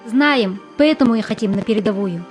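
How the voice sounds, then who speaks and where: A woman's voice: medium pitch, lively intonation, brisk, darkish tone, soft background music, lightly acted. A woman playing a determined, eager young junior lieutenant in an amateur audio drama. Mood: insistent.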